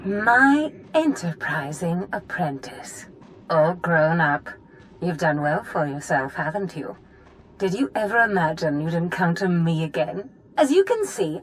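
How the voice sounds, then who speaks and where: A sly old sorceress from a video game. Her voice sits low and swoops widely as she purrs and gloats with false sweetness, theatrical and mocking. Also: very animated, measured pace, poor audio. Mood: gloating. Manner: theatrical, purring, taunting.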